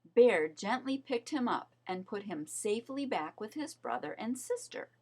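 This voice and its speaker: A woman reading a children's story aloud as narrator, in an expressive, measured storytelling manner. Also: on the high side, husky. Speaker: storybook reader.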